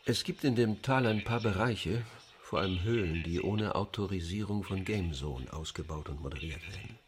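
Actor as deep-voiced, guarded businessman. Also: moderate pace, close to an everyday voice. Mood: guarded, formal. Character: guarded, formal corporate client, evasive adult man. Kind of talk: German audio drama acting. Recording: music behind the voice.

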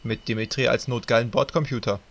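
A man's voice: mid-range voice, fairly even intonation, fast, dull audio, heavy background noise, close to an everyday voice. A man voicing a flustered, stammering male author figure in a fan audio drama. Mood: explaining.

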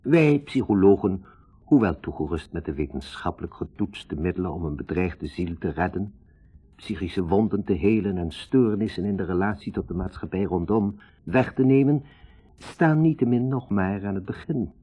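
An actor playing a pompous, moralizing psychologist who lectures in a 1960s radio drama. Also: male voice, sits low, expressive, normal speed, warm tone, husky. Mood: solemn, lecturing.

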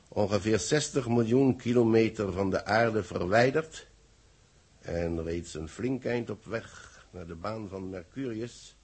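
A measured, knowing newspaper man from a 1960s Dutch radio play. His voice is deep and very husky, with a gravelly creak. He explains things deliberately to his secretary. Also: a little mellow. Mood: formal dictation.

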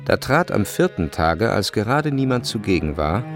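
A man's voice: on the low side, big pitch swings, moderate pace. A man reading scripted literary narration for a radio drama. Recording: music behind the voice.

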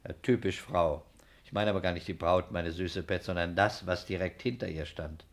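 An actor playing a shrewd English private detective in a German radio crime drama, politely probing and deliberate with gentle irony. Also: male voice, low voice, steady tone, dry recording. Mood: teasing, confident.

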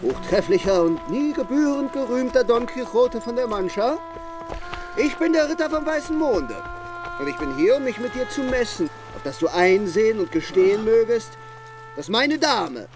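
A man's voice: very high voice, big pitch swings, moderate pace. A man playing a haughty, boastful knight in a radio drama, issuing a challenge in formal, ceremonial, commanding speech. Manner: ceremonial, declamatory. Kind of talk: theatrical radio drama acting. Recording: music under the voice.

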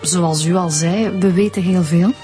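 An actress with a Flemish accent playing a polite, persistent police inspector with a hesitant, probing manner in a radio drama. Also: female voice, lowish voice, fairly even intonation, moderate pace, warm tone, faint music behind, close to an everyday voice.

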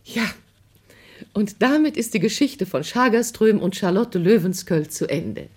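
Kindly storytelling aunt played by a woman, medium pitch, very varied melody, wise, soothing, radio drama. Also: lively intonation, a little slow, a little mellow, close to an everyday voice. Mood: narrating calmly.

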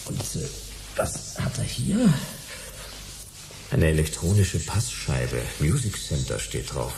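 A man's voice: a bass voice, huge pitch swings, slow, gravelly, noisy background, exaggerated voice. A man playing a terse, dry, stubborn private detective in a German sci-fi radio play. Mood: pondering.

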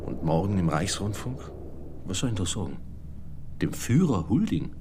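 Deep-voiced, pleading Alpine mountaineer. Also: male voice, sing-song, moderate pace, noisy background. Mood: anxious, questioning. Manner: earnest, prayerful, pleading. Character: troubled devout Alpine mountaineer film star, pleading. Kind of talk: German radio play acting.

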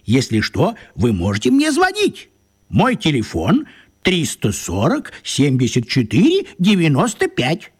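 An actor playing a fussy, apologetic, absent-minded wizard in a children's radio play, hesitant, rambling and fussily polite. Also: male voice, fairly high pitch, huge pitch swings, a little slow, breathy edge, heavily acted. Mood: fussy, reassuring.

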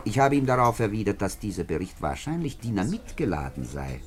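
A self-important politician in a vintage radio play. The voice is deep but swings widely in pitch, with pompous, deliberate emphasis on his phrases. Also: very animated. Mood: guarded, emphatic. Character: self-important, indignant male French parliamentary deputy. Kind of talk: 1950s German radio drama acting.

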